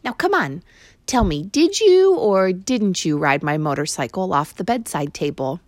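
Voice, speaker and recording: A woman voicing an eager, curious young boy who speaks in a hushed, often whispering voice, in a storybook reading. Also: on the low side, sing-song, measured pace, no echo, studio quality.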